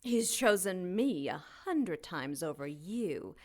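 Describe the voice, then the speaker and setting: Slow, scornful seductress. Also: female voice, fairly low pitch, big pitch swings, a little mellow, exaggerated voice. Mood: taunting. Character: scornful, possessive seductress, romantic rival. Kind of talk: audio drama acting.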